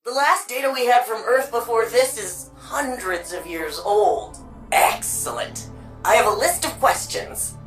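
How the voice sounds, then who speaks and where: Lively, chatty sci-fi archivist. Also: female voice, fairly high pitch, unhurried, soft background music, low-quality recording. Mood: excited, curious. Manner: informal, playful, flirty, chatty. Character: chatty, warm, curious woman archivist in a sci-fi city. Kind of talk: naturalistic video game dialogue.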